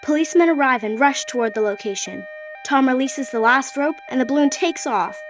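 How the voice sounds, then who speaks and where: A woman narrates a radio play in a steady, descriptive voice that sits slightly high. Her delivery is scripted and even. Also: a little fast, faint music behind.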